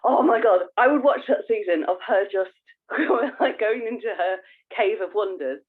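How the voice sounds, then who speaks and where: Lively woman on a thin, phone-like line. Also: mid-range voice, normal speed, breathy edge. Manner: playful, expressive. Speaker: podcast co-host. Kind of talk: casual podcast chat. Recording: thin phone-like call audio.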